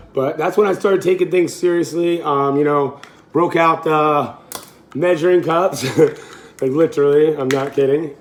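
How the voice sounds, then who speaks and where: Rambling, chatty man in his late thirties. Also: on the high side. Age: 30s. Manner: rambling and self-correcting, with easy laughs. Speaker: fitness coach. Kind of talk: casual cooking vlog.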